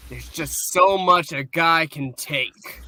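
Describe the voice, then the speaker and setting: A man's voice: a little high, slow pace, bright, forceful tone, full character voice. A man playing a hot-tempered, exasperated superhero in a comic book dramatic reading. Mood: angry.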